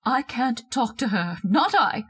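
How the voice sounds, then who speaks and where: A woman's voice: a little high, big pitch swings, unhurried, fairly bright tone, husky, heavily acted. A woman playing a bossy, scolding, histrionic wife haunted by an intruder in an old-time radio horror drama. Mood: fearful, insistent. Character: shrill domineering wife, frightened by a ghostly intruder.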